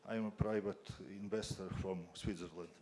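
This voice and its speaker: Man asking haltingly, low voice. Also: fairly even intonation, warm tone. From Ukraine. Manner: halting, searching for English words. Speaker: audience questioner, private investor. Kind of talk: question from the floor at a panel.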